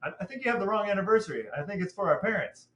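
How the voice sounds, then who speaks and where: A man's voice: high voice, expressive, rapid-fire, a bit bright. A middle-aged American man chatting casually as a podcast co-host. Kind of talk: casual chat. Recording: roomy, echoey sound.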